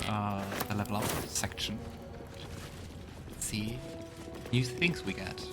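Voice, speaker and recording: A man giving casual let's play commentary, thinking aloud and reading game text out as he goes. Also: fairly low pitch. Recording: game music behind the voice. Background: video game music.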